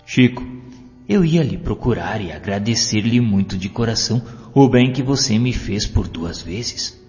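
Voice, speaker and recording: A man's voice: fairly low pitch, expressive, measured pace, a little mellow. A Brazilian man voicing a grateful, earnest male police guard in an audiobook, speaking emphatically, as if confessing. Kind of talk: audiobook character dialogue. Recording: muffled, long reverb, music behind.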